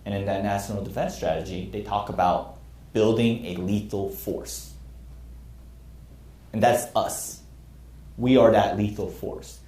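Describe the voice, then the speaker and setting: A man's voice: fairly low pitch, steady tone, unhurried, long pauses, warm tone. A man in his thirties teaching a class as a military instructor, earnest and candid about his own past mistakes. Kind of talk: classroom lecture. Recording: echoey room.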